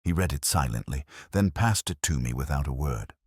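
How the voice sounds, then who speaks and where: A man's voice: very deep voice, expressive, moderate pace, a little mellow, breathy edge, dry recording, studio quality. A man reading a scripted dramatic story as an audiobook narrator.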